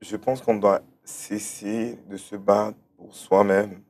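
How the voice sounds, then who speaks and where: A man's voice: lowish voice, little pitch movement, slow pace, a little mellow. A man from Cameroon answering interview questions, hesitant and often repeating words as he thinks aloud. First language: German-speaking background. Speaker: interview guest, start-up founder.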